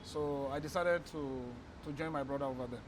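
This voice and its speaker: Beninese guy w french accent telling his story, fairly high voice, noisy street around him. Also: a little fast. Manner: animated, acts out bus-stop calls. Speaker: guest, company founder. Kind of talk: storytelling interview.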